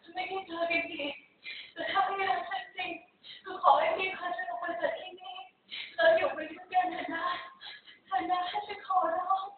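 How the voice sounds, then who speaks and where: Woman acting a high-pitched pleading young lady in love. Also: level tone, brisk, faint music behind. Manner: pleading, emotional, dramatic delivery. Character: young rich man's daughter pleading with her lover. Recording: distant, phone-like, echoey hall with noise.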